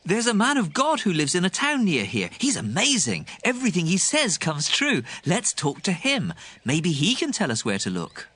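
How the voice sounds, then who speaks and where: An actor playing an eager, helpful male servant, earnest and excitable, in dramatized scripture. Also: sits high, normal speed, few pauses, slightly rough, heavily acted.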